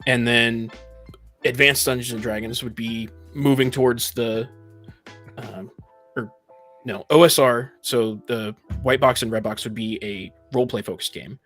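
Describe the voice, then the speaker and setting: A man's voice: medium pitch, fairly even intonation, unhurried, a bit bright, soft background music. A man in a casual discussion, explaining things in an analytical, precise and somewhat argumentative way. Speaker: panelist in a tabletop gaming discussion.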